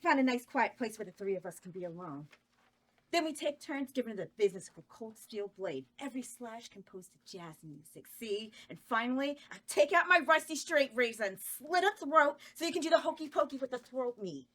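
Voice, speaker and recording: A woman's voice: fairly high pitch, big pitch swings, fairly quick, fairly bright tone. A woman reading a murderous nurse who speaks in old-time gangster slang. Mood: menacing. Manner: 1930s tough-guy slang, "see?". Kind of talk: table read of a comedy horror script.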